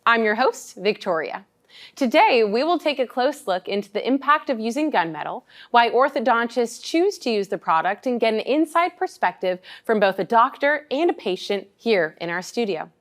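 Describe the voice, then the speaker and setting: A young adult woman hosting a studio talk show, upbeat and encouraging, often reacting with quick praise and laughs. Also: fairly high pitch, sing-song. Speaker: host.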